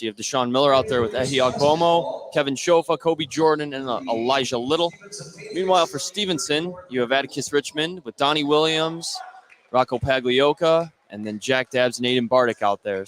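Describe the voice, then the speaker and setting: A man's voice: mid-range voice, fairly bright tone. A man calling live basketball play-by-play from beside the bleachers in a gym. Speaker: play-by-play announcer. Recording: clear gym noise behind voice. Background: gym ambience.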